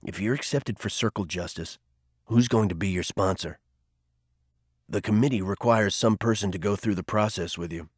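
A man voicing a gruff older male mentor who speaks low, quiet and firm, with a teasing edge. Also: moderate pace, no echo, clean studio sound. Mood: stern.

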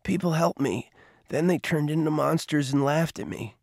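A man voicing a troubled teenage boy shaken by a nightmare, speaking softly and a little higher than his own voice. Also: normal speed, vocal fry, dry recording, studio quality.

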